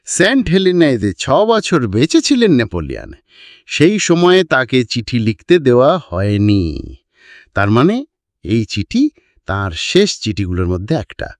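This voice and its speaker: A man's voice: medium pitch, huge pitch swings, unhurried, dry recording, clean studio sound. Guy doing a grumpy old antiques man for an audiobook, grating voice, swings up and down a lot, drops english bits. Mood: confiding. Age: older. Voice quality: harsh, grating. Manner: mixes English phrases into his speech. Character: crabby old male antique collector, impressed by learning.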